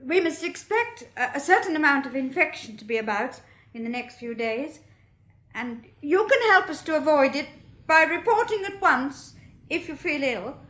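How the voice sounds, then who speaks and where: A woman's voice: high-pitched, very animated, normal speed, fairly bright tone. An actress playing an earnest, practical nurse, speaking carefully and a little hesitantly as she gives instructions in a radio drama. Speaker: nurse addressing a meeting.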